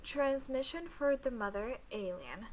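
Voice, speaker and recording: A woman's voice: sits high, lively intonation, a little hiss or hum. A woman playing a detached female alien scientist in an audio roleplay, speaking clinically with playful hums and asides to the listener. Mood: clinical, methodical. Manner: clinical log-keeping, drawn-out hesitant hums and asides.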